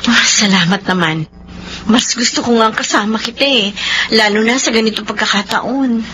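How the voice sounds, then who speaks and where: Sweet loyal filipino mom in a radio drama, really high voice. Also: female voice, moderate pace, sharp tone, slight background noise. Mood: tender. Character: gentle, loyal young wife and devoted mother.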